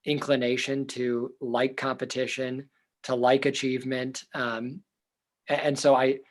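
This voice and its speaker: Earnest young man, loud and animated. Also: mid-range voice, a little slow. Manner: loud, earnest, thinks aloud with fillers and restarts. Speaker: therapist and interview guest. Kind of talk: reflective casual interview talk.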